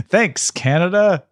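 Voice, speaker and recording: A man's voice: sits high, no echo. A man co-hosting a podcast in casual, playful, bantering chat. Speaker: podcast co-host.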